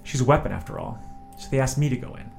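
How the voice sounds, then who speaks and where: A man's voice: medium pitch, expressive, fast talker, creaky, soft background music. A man playing a wary, grieving former test subject with psychic visions in an audio drama, speaking in an earnest, defensive way. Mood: guarded, confiding.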